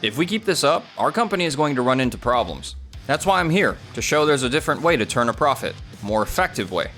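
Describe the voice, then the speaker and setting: A man's voice: medium pitch, sing-song, fast, a bit bright, faint music behind. A man voicing an earnest, conciliatory young office worker in an English manga dub, sincere and persuasive.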